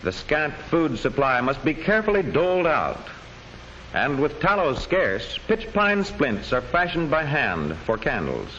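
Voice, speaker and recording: A man narrating an old educational history film from a script, in measured, declamatory storytelling phrases. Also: fairly high pitch, sing-song, fairly bright tone. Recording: dull old film soundtrack with faint noise.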